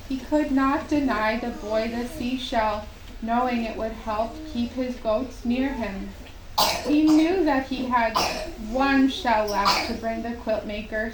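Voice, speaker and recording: Female storyteller for a children's puppet show, higher voice, very measured delivery, live room sound. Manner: unhurried, simple phrasing with long pauses. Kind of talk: slow storytelling for young children. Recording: roomy live recording with faint noise.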